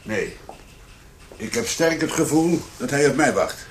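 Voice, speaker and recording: An actor playing a determined, brooding police commissioner in a radio drama. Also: male voice, medium pitch, lively intonation, measured pace, slight background noise, natural voice. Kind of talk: Dutch radio drama acting.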